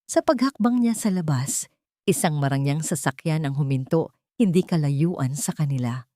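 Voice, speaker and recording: A woman's voice: low-pitched, expressive, normal speed, mellow, dry recording, clean studio sound. A woman reading a scripted, dramatic love story as its narrator.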